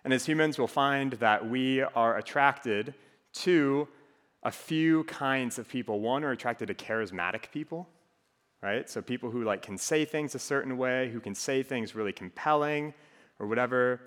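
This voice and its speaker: A young man with a faint Minnesotan accent speaks to a student group in a relaxed, joking, conversational way. His voice sits in a middle pitch, and it rings out with long reverb in a big hall. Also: normal speed. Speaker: campus ministry speaker. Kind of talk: casual sermon to a student group.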